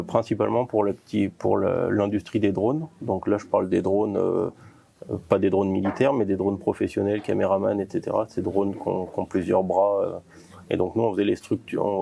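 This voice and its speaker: A man explaining his company's work in an informal interview, in a halting way with repeated false starts. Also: lowish voice, little pitch movement, gravelly, warm tone, breathy edge. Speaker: company co-founder being interviewed.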